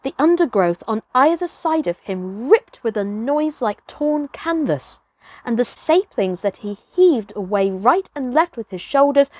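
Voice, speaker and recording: High-voiced woman reading a dramatic children's story. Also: dry recording. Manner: dramatic, varies between hushed and emphatic. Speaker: storybook reader, performer. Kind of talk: expressive children's story narration.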